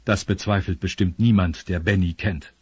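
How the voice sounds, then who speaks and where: A man's voice: low voice, steady tone, moderate pace, a little mellow, slightly rough, no echo. A man narrating a children's audio drama from a script.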